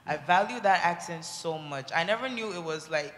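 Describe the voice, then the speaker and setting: Young nigerian-accented woman, earnest, kinda halting, echoey hall. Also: lowish voice, lively intonation, fairly bright tone. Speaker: discussion participant. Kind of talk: casual group discussion. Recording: echoey hall with faint noise.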